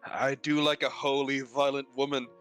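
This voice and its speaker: A man's voice: medium pitch, level tone, moderate pace, fairly bright tone, clear, resonant tone. A man bantering casually on a group live stream, joking and swearing freely. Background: background music.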